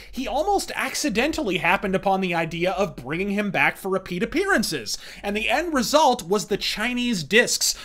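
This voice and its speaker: A man's voice: high voice, sharp tone. A man hosting a scripted review with florid, profane and emphatic delivery, like a countdown rant. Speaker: host of a pop culture review show.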